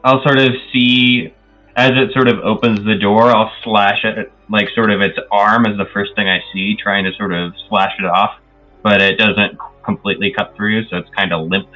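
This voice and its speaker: A man's voice: on the low side, level tone, moderate pace, sharp tone, muffled recording, faint music behind. A man talking casually as a player in a tabletop roleplaying game, tentatively working out his actions aloud.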